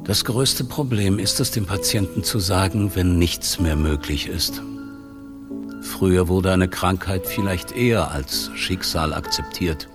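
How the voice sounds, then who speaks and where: A hospital doctor in a German radio play, with an extremely deep male voice. He explains things frankly and soberly, in a factual, resigned way, as if being interviewed. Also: a little slow, over music. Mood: matter-of-fact, resigned.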